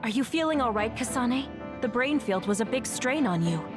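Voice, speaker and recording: An actress playing a gentle, caring, worried young woman in a video game. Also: a little high, lively intonation, normal speed, music in the background, natural voice. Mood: concerned. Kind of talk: English video game voice acting.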